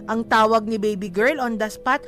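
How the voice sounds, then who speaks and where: A Filipino woman co-hosting a love-advice talk show, chatting casually in an expressive, playful, emphatic and slangy way. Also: fairly high pitch, normal speed, over music. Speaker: radio show co-host giving love advice. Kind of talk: casual bantering talk, Tagalog-English mix.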